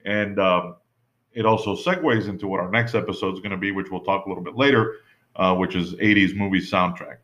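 A man's voice: sits low, steady tone, fairly quick, fairly bright tone. A man in casual podcast chat who rambles, full of "you know" and restarts. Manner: rambling, many fillers and restarts. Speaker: podcast co-host. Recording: dull lo-fi sound.

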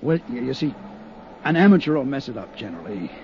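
Matter-of-fact arson cop. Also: male voice, medium pitch, very animated, quick pace, a little mellow. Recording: muffled 1950s radio broadcast with faint hiss.

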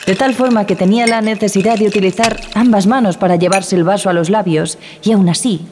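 A woman's voice: medium pitch, fairly even intonation, fairly quick, darkish tone, close to an everyday voice. Actress as grave woman narrator over music. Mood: grave recounting. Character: wary woman ship passenger recounting a horror tale. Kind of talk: dramatized first-person horror narration.

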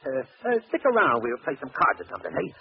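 Rattled, rough, animated gangster. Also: male voice, mid-range voice, fast. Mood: pleading terror. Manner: rough, ungrammatical, slangy. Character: frightened, rough gangster boss, pleading for life. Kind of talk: 1940s radio acting. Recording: muffled old radio recording, faint noise.

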